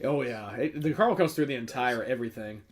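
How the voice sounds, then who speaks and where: A man's voice: mid-range voice, expressive, quick pace, crowd noise. A man co-hosting a casual, joking beer-review podcast, telling stories by acting out bits of dialogue. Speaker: podcast co-host.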